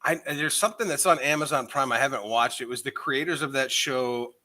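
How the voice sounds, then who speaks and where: A man's voice: medium pitch, a little fast, bright, forceful tone. A man co-hosting a podcast, intoxicated, rambling casually with lots of swearing. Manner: profane, meandering, enthusiastic. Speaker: podcast co-host.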